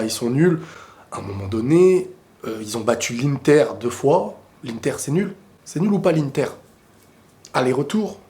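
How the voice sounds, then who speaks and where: A man with a cold and a sore throat, talking casually and excitably about football to his viewers, often rambling into quick asides. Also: medium pitch. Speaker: football video creator answering viewer questions. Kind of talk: casual opinionated football talk to camera.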